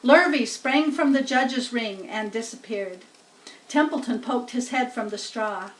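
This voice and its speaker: A woman reads a storybook aloud for children in a high voice. She is deliberate and expressive, taking her time, and the recording is echoey and a little distant. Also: slight background noise. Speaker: storybook reader. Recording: roomy echo, distant microphone.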